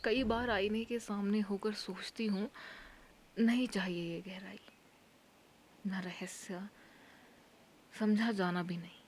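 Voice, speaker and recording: A woman performs a poetic spoken-word monologue in an intimate, hushed, often breathy voice, leaving long pauses between phrases. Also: medium pitch, steady tone, slight background noise.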